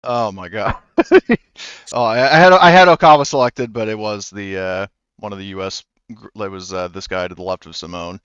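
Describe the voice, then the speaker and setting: A man running a tabletop game as game master, talking haltingly with many fillers. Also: on the low side, a bit bright, clean tone, no echo, highs cut off.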